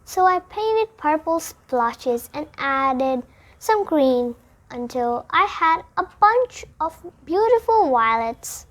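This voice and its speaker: Slow, high-pitched child reading a storybook aloud. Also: sing-song, fairly bright tone. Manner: deliberate, phrase-by-phrase reading. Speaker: storybook reader. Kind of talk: read-aloud of a children's picture book.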